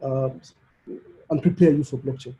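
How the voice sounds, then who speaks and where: A man interviewing a guest in a casual conversational style, with hesitant, halting phrasing. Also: mid-range voice, fairly even intonation, dark tone, rough recording. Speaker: interviewer, summit organizer.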